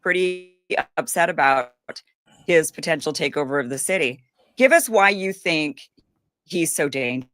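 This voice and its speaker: A woman interviewer puts direct questions to her guest. Her voice is very low for a woman and dry, with no room sound. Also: expressive, moderate pace, a bit bright, dry recording. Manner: direct, prompting.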